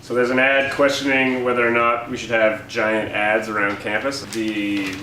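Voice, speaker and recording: A young man co-hosting a casual satirical show, joking in a sarcastic, deadpan way. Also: mid-range voice, normal speed. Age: young adult. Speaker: co-host of a campus humour paper's show. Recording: roomy, distant mic, faint noise.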